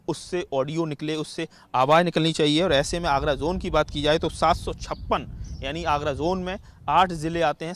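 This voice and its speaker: Male TV field reporter, slightly high voice, speaks loosely and rephrases as he goes. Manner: unscripted, self-correcting reporting. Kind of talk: news report to camera.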